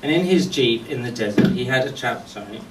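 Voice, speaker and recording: Male eulogy speaker, anecdotal and measured with deliberate pauses; mid-pitched, recorded from afar in a noisy, echoing room. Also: lively intonation. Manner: storytelling in short phrases with deliberate pauses. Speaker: friend giving a memorial tribute. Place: golf club function venue at a memorial service. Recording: echoey distant mic with background noise.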